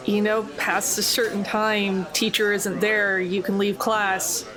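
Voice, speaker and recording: A woman's voice: medium pitch, little pitch movement, unhurried, crowd noise, natural voice. A woman playing a wry, blunt, world-weary female agent in a tabletop game, talking casually and dryly. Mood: dry, impatient.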